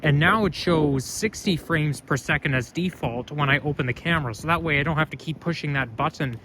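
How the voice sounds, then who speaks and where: A young man with a loud voice, rambling and correcting himself as he talks through a casual walking vlog, recorded on a phone camera outdoors. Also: fairly high pitch, brisk. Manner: rambling, chatty, self-correcting. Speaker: vlogger. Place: outdoors on a street. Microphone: phone camera held while walking. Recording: outdoor street noise.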